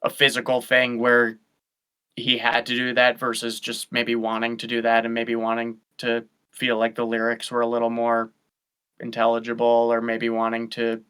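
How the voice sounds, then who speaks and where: A man's voice: medium pitch, completely flat delivery, normal speed, bright, forceful tone, clear, resonant tone. A man guesting on a casual chat, thinking aloud with many restarts and fillers. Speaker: guest vocalist. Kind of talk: casual chat over a stream.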